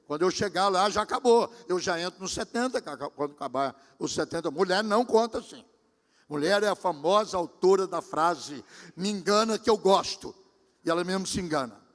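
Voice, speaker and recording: A pastor in his sixties giving a sermon, talking conversationally and speaking directly to his congregation. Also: high voice. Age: 60s. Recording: long hall reverb.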